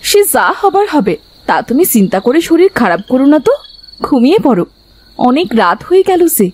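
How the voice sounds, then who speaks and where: A woman's voice: high voice, very animated, brisk, darkish tone, a little hiss or hum. A woman playing a doting, affectionate village mother and housewife in a cartoon story. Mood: soothing.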